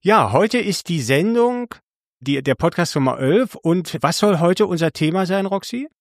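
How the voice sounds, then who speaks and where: A man's voice: high voice, animated, unhurried, no room sound, studio quality. A man co-hosting a casual podcast, who rambles and corrects himself mid-sentence. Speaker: podcast co-host. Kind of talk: casual chat.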